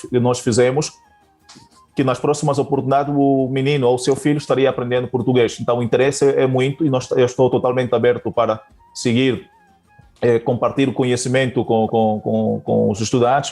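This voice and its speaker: Guest teacher from Angola, male, mid pitch, halting delivery full of restarts. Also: a little mellow. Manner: hesitant, many fillers and restarts. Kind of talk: interview answers.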